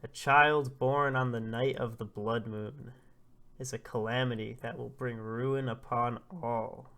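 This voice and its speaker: A man's voice: mid-range voice, steady tone, measured pace, sharp tone. Solemn old male elder, formal and foreboding, storybook prophecy-teller, read by a man. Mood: ominous. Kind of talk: storybook character reading.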